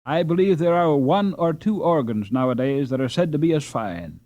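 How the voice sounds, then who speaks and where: Brisk informative boy , read by a male narrator, animated intonation. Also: fairly high pitch, dry recording, close to an everyday voice. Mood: explaining. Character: brisk knowledgeable Dutch boy interpreter.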